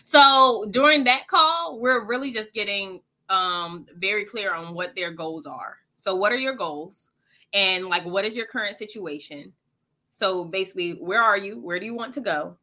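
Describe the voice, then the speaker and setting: A woman's voice: medium pitch, normal speed, pushed and bright. A woman, a financial coach, answering interview questions earnestly and explaining things plainly, with frequent ums and likes.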